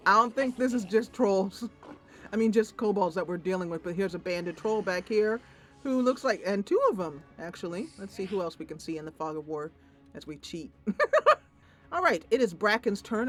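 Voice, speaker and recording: Woman, lively swooping pitch, giggly, chatty game commentary, music behind. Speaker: host of a gameplay channel.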